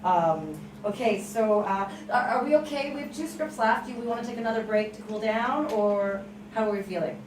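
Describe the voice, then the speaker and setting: A woman's voice: mid-range voice, normal speed, few pauses. Woman hosting casually in echoey room. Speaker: table read host. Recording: echoey room, distant mic, background noise.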